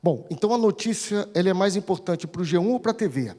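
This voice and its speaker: A man's voice: high-pitched, animated, fairly quick, warm tone. A Brazilian man giving an informal conference talk, explaining didactically and often posing questions that he answers himself. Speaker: speaker at a conference, regional TV news editor-in-chief. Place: large indoor conference hall. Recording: echoey hall with long reverb.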